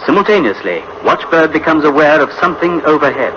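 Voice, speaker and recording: An actor playing a terse, grave narrator in a 1960s science fiction radio drama. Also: male voice, a little high, very animated, brisk. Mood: grave, reporting. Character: terse, grave male narrator reporting machine behaviour. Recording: muffled radio buried in loud noise.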